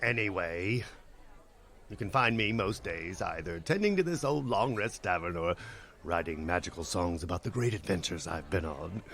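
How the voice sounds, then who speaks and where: An actor playing an eager, boastful tavern bard in a comedy radio play, excitable and hammy. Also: male voice, low voice, moderate pace. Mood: nervous bragging. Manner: excitable, hammy, stammers when put on the spot.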